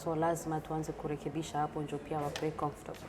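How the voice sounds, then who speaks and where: A middle-aged woman from the Democratic Republic of the Congo speaks as an interview guest. Her voice sits on the low side, and she moves between languages in a conversational way. Clear background noise runs behind her. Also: monotone, fast talker, a little mellow. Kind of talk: conversational interview, code-switching. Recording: clearly noisy background ambience.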